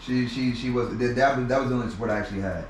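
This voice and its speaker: A man's voice: mid-range voice, a little hiss or hum. Man telling his story in an echoey room. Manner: halting, many restarts and repeated words. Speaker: interview guest. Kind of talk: personal storytelling in conversation. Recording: echoey room, mic at a distance.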